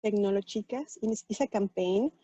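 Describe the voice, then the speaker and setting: A woman from Mexico speaks English with a Mexican accent, Spanish being her first language. Her voice is mellow and relaxed at a medium pitch, and she explains things patiently with small restarts. The sound is dry and a bit dull, as from a video call. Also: moderate pace, slightly rough, no room sound. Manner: earnest, explanatory, with fillers and restarts. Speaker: tech program manager, panel guest. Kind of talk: personal story on a virtual panel.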